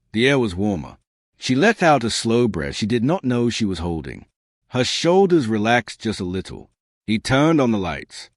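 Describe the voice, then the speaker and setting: A man narrating a science fiction story in a measured, dramatic way with short pausing phrases. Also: lowish voice, dry recording, clean studio sound. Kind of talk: scripted dramatic sci-fi audiobook narration.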